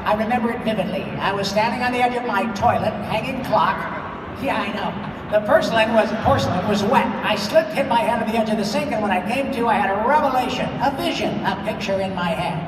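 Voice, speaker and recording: A woman voicing an eccentric, excitable old male scientist in a comic live table read, explaining things grandly. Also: mid-range voice, fast, natural voice. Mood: grandly explanatory. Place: large convention hall stage. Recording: echoey hall from far off, loud noise.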